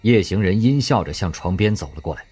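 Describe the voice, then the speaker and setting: A man narrating an audio drama with expressive storytelling. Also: on the low side, fairly quick, breathy edge. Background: faint music.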